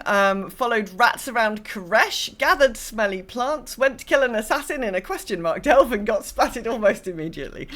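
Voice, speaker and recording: A woman's voice: medium pitch, fairly bright tone. A woman co-hosting a podcast, chatting casually about online games in a wry, self-deprecating way. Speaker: podcast co-host.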